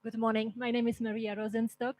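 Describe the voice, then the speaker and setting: A woman's voice: a little high, little pitch movement, quick pace, darkish tone, no room sound. A woman delegate from Italy giving a short, polite self-introduction at a conference.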